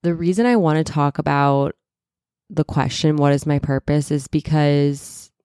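A woman in her thirties hosting a casual solo podcast, chatty and full of "like"s, a little stuffy and raspy from a cold. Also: low voice, fairly even intonation, a little slow, mellow, no room sound, studio quality. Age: 30s. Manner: chatty, many "like"s and self-corrections. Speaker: podcast host and wellness coach.

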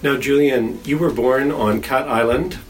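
A man hosting an interview in a church office, asking friendly questions with a light, gently joking touch. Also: medium pitch, measured pace, low-quality recording. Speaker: interviewer, radio host.

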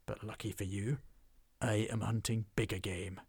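A man voicing a fierce, burly male dwarf troll slayer who speaks slowly and menacingly. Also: fairly low pitch, steady tone, darkish tone, no room sound. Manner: gruff, slow and menacing.

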